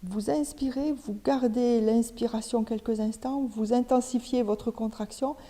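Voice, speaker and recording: Woman yoga teacher talking ppl thru relaxation step by step, really soft dark tone, kinda high, pauses. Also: fairly even intonation. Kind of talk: guided yoga nidra instruction. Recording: long reverberant hall echo.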